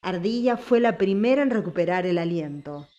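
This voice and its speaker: A woman's voice: lowish voice, animated, moderate pace, mellow, lo-fi sound. A woman reading scripted story narration as an audiobook narrator.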